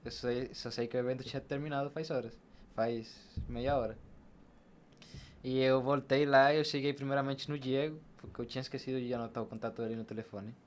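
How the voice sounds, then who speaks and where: A young Brazilian man speaks as a podcast guest in a medium-pitched voice. He recounts personal experiences casually, slipping into quoted dialogue as he goes. There is noticeable background noise around him. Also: steady tone, a little fast. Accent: Brazilian Portuguese. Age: young adult. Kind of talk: casual personal storytelling in an interview.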